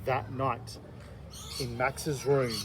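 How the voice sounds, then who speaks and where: A man's voice: medium pitch, flat delivery, extremely slow, slight background noise. A man reading a children's storybook aloud as a kindergarten teacher, dramatically stretching words for effect.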